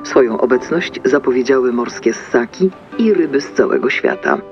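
Formal newsreader through a radio filter. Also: male voice, medium pitch, animated, normal speed. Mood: brisk, formal. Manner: announcer-like, polished. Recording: dull radio sound, music behind.

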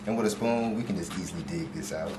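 A man's voice: low voice, fast talker. Young man chef walking through a recipe. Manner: steady, instructive, with fillers like "you know". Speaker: guest chef. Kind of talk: casual cooking demonstration. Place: large home kitchen. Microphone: far camera. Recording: echoey kitchen, noisy.

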